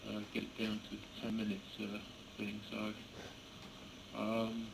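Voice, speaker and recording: Male radio hobbyist, halting casual delivery, gravelly fry, clearly noisy camera audio. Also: lowish voice, completely flat delivery. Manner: hesitant, halting, chuckling. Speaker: amateur radio operator. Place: indoors beside a computer.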